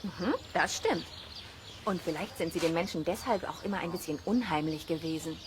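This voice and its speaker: A woman's voice: lowish voice, moderate pace, lightly acted. A woman playing a friendly, knowledgeable wolf keeper, explaining patiently in a children's radio play. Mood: friendly, instructive. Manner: patient, lightly amused explaining. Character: friendly, knowledgeable woman who looks after wolves. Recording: faint cassette noise.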